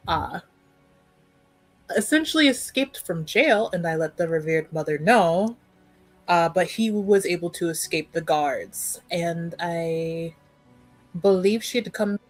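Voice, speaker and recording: A woman playing a dutiful young noblewoman in a tabletop game, speaking formally and with measured, dryly wry wording. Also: on the low side, animated, no echo, soft background music. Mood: formal, guarded.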